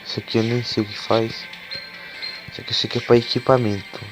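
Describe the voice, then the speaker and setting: A man with a cold and a hoarse voice, talking casually as he opens card packs for a gaming video, cursing and getting excited over the cards. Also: mid-range voice, fast talker, low-quality recording. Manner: casual and swearing, excitable when cards are revealed. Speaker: gaming YouTuber. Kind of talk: casual gameplay commentary. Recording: game music playing behind the voice.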